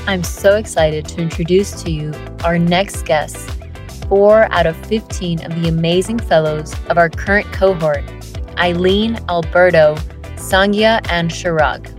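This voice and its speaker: A woman's voice: fairly low pitch, a little slow, soft background music. A woman hosting a podcast, reading an upbeat introduction and then asking her guests questions. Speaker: podcast host.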